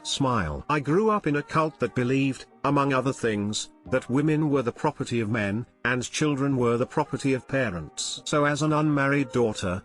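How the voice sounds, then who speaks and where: A man steadily reading online stories aloud, with light word-swaps for swearing. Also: mid-range voice. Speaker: narrator reading online posts. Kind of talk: scripted story narration. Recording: faint music behind the voice.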